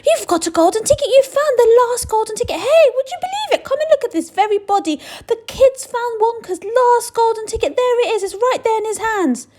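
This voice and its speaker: A woman voicing a fat, hearty, excitable but kindly male shopkeeper from a children's storybook. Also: very high-pitched, fairly quick, few pauses, voice pushed up. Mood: excited, yelling. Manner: exclaiming, hearty, avuncular. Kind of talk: storybook character voice in a read-aloud.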